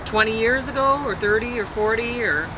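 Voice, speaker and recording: A woman chatting casually, hesitant and rambling, often trailing off mid-thought. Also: normal speed. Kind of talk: casual chat. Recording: constant traffic and outdoor rumble noise.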